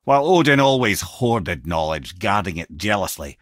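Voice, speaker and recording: A man's voice: low-pitched, very animated, normal speed, a bit bright, no room sound, studio quality, heavily acted. A man with a Scottish accent voicing a wise, wry, talkative old sage in a video game, telling tales eloquently in colourful old-fashioned phrases. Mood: sage advice. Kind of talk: video game character lines, storytelling and banter.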